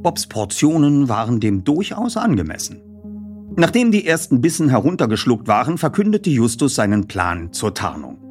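A man narrates a detective story for young listeners. His delivery is animated and moves widely in pitch, at a medium register, with faint music in the recording. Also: normal speed. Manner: animated, acts out the dialogue. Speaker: audiobook narrator. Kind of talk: scripted audiobook narration of a youth detective story.

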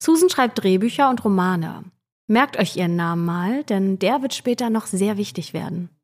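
A woman's voice: medium pitch, animated, normal speed. A woman narrates as co-host of a true-crime podcast. Her delivery is scripted yet conversational, like storytelling aimed straight at listeners.

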